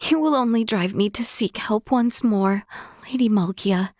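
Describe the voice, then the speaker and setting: A woman voicing a gentle, earnest young royal woman in a video game, speaking in formal, old-fashioned courtly language with hesitant politeness. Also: on the high side, unhurried, no room sound, studio quality, close to an everyday voice. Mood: worried, reliant. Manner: formal, archaic courtly diction. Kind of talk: scripted video game character dialogue.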